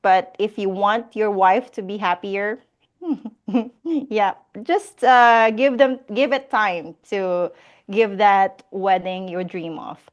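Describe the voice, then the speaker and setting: Slow-talking Filipino woman hosting a Q&A. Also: medium pitch, highs cut off. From Philippines. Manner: reads viewer questions aloud, laughs often. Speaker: host of a live Q&A. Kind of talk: casual live-stream Q&A.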